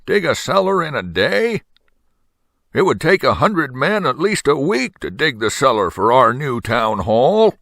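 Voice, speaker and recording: A man's voice: medium pitch, big pitch swings, unhurried, vocal fry, fairly bright tone, no echo, clean studio sound, voice pushed up, exaggerated voice. A man reading a storybook, voicing an incredulous, mean-spirited small-town selectman who exclaims in disbelief. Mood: incredulous, scornful.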